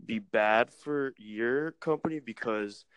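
A man's voice: mid-range voice, slow pace, background noise. A man hosting a casual podcast, mostly agreeing with short replies and asking questions. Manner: agreeable, brief backchannels.

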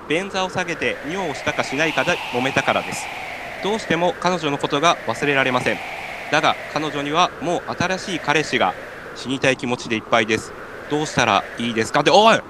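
Man acting earnest stranded Kansai podcaster, highish, noisy recording. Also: expressive, fairly quick, close to an everyday voice. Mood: earnest, exasperated. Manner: earnest, exasperated retorts and pleas. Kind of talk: amateur comedic radio drama. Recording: clear background noise.

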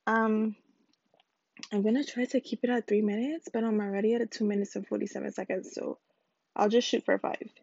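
A woman's voice: steady tone, a little fast, gravelly, darkish tone, husky, no room sound, muffled recording. Rambling, chatty young woman podcaster. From United States Virgin Islands. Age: 20s. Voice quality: squeaky. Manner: rambling, chatty, self-correcting. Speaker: podcast host. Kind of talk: casual solo podcast intro.

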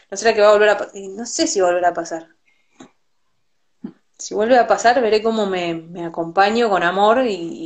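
A reflective woman speaking with an Argentine accent, talking things through at an even, measured pitch. She often restarts her words as she thinks. She comes through a video call. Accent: Argentine Spanish. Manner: thoughtful, repeats words when restarting. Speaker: Ayurveda teacher, guest. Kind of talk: reflective conversational explanation.

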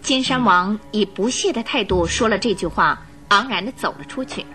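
A woman's voice: medium pitch, normal speed, sharp tone, faint music behind. A woman narrating a radio drama from a script in a dramatic storytelling style.

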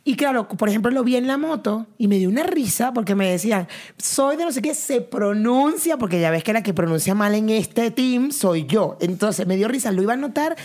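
A woman with a Venezuelan accent and a fairly high voice talks as a vlog co-host. She is chatty and spontaneous, bantering easily and readily amused. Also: lively intonation, a little fast, darkish tone. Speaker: vlog co-host, publicist and actress. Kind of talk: casual, spontaneous chat.

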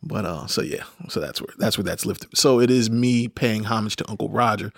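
A man's voice: fairly low pitch, brisk, creaky, no echo, studio quality. A man hosting a podcast in a loose, casual chat style, rambling, swearing freely and laughing often. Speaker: podcast host.

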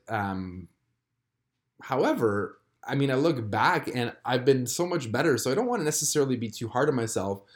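Croatian-born guy in his 30s, solo podcast, kinda halting and thoughtful, lots of um and you know. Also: medium pitch. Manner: reflective, halting with many fillers and restarts. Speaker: podcast host. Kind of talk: candid solo podcast confession.

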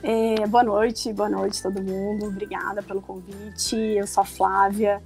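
A woman in her forties from Rio de Janeiro with a Carioca accent, a guest on a casual podcast, chatty and quick to laugh. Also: medium pitch, flat delivery, creaky, darkish tone, faint music behind, poor audio. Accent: Carioca Brazilian Portuguese. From Rio de Janeiro, Brazil. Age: 40s. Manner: chatty, laughing easily, many restarts.

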